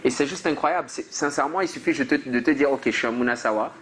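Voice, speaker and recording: A man's voice: medium pitch, quick pace, a bit bright. A man from Cameroon, a musician guest on a talk show, talking in an earnest, reflective way. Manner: earnest, reflective, sings snippets. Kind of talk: casual talk show chat.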